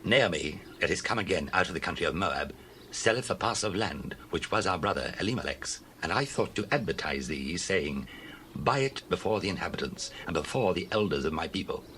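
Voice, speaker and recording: An actor playing a kindly, dignified landowner of ancient Israel in a dramatized Bible reading, solemn and measured. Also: male voice, deep voice, animated, bright, forceful tone, slight background noise, low-quality recording. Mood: formal.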